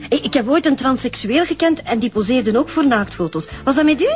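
An actress playing a nervous novice model with a Flemish accent in a radio drama, hesitant and stammering. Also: female voice, high voice, lively intonation, fairly quick, dull audio. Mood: shy, hesitant.

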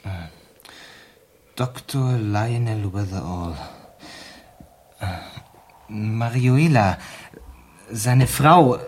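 An actor playing an educated gentleman traveller, insistent and troubled, in a 1970s radio drama. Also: mid-range voice, extremely slow, long pauses, a little hiss or hum. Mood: insistent, curious.

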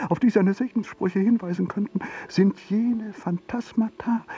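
A mocking devil as theatrical radio narrator. The voice is dark and gravelly, with slow, deliberate phrasing. It sits a little back from the microphone. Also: male voice, a little high, distant mic, highs cut off, exaggerated voice. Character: mocking male devil narrating a fantasist's life.

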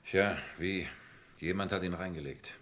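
An actor playing a concerned, protective gentleman in a vintage radio crime drama, speaking earnestly and emphatically. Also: low-pitched, unhurried. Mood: reassuring, concerned. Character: protective, concerned gentleman trying to reassure others.

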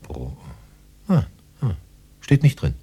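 Sehr heiserer, tiefer, lebhafter Dichter. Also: male voice, lowish voice, takes it slowly, muffled recording, heavily acted. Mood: puzzled, muttering. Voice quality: husky, breathy. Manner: expressive, cajoling, muttering to himself at times. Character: excitable, cajoling male poet keen on gadgets. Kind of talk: conversational radio drama acting.

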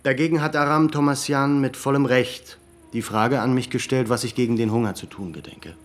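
An actor playing a resolute military leader in a radio play, talking in a firm, deliberate and commanding way. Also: male voice, medium pitch, lightly acted. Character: resolute male military leader planning a raid. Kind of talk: German radio play acting.

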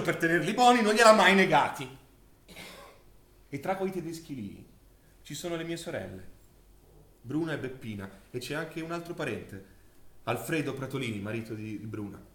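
Male, stage drama: distraught war survivor giving testimony, higher-pitched voice, halting and repetitive, roomy echo. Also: a bit bright. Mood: agitated, loud. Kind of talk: theatrical monologue in a radio-broadcast stage play.

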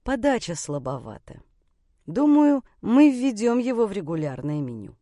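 A woman voicing a confident, commanding man in an audiobook, speaking in a calm, sardonic, curt manner. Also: medium pitch, normal speed, no room sound, studio quality, lightly acted. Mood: teasing.

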